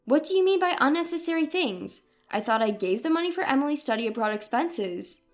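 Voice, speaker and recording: A woman's voice: high voice, expressive, brisk, soft background music. A woman voicing a caring but persistent grandmother in dialogue from a narrated story. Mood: indignant. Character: indignant, caring, persistent grandmother confronting her family.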